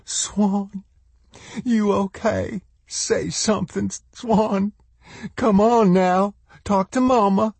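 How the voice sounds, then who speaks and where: A man plays a desperate young mother in an audiobook, his voice lifted high. She pleads in folksy, homespun phrases and clings to hope. The sound is close and dry. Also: animated, moderate pace, few pauses, warm tone, husky, dry recording. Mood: urgent pleading.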